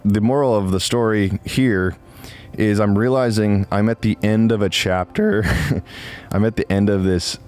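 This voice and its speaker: A man in his late twenties giving a casual, confessional monologue, rambling and often halting and interrupting himself. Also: on the low side, steady tone, darkish tone, clear, resonant tone, faint music behind. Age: late 20s.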